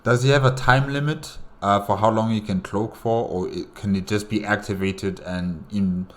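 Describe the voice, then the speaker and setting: A man with a low voice hosts a reaction video. He talks casually and hesitantly, circling back over phrases as he rambles through his thoughts. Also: fairly even intonation, moderate pace.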